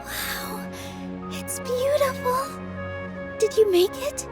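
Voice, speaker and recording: A woman voicing a kind, gentle young theater dancer in a video game, sweet, polite and earnest. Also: very high voice, takes it slowly. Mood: delighted. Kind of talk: scripted video game voice acting. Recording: music behind the voice.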